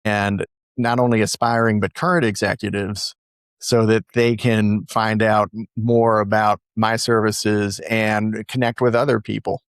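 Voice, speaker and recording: A low, flat-voiced man speaks as a business consultant guest. He answers in a measured way, with frequent you-knows and false starts. The sound is dry and close. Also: clean tone, dry recording. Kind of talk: interview answers.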